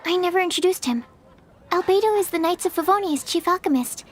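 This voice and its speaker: A timid young alchemist's assistant. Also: female voice, extremely high pitch, animated, fairly quick. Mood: nervous. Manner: timid, stammering, apologetic. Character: shy, nervous young female alchemist's assistant. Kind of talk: video game voice acting.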